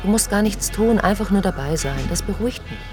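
A woman's voice: mid-range voice, lively intonation, brisk, natural voice. An actress playing a wry, sceptical, observant woman in a radio drama, speaking in a dry, understated way. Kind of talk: radio drama, first-person narration and dialogue. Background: music.

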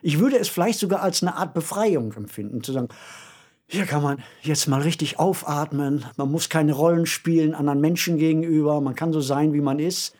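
A man who is an author, talking reflectively in a radio discussion and growing animated when he makes a point. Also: on the high side, brisk.

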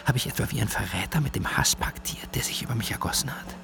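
Brooding gravelly male writer. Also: on the low side, lively intonation, fast, bright, forceful tone, whispered, faint music behind, exaggerated voice. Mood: brooding, hushed. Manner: introspective, confessional. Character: brooding male writer and recovering alcoholic, restless and bitter. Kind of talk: German radio play monologue.

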